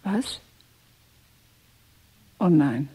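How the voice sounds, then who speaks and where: An actress playing an anxious, emotional woman in a German radio crime drama, with a husky, breathy voice. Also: low voice, lively intonation, drawn-out words, warm tone, full character voice. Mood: alarmed, shocked.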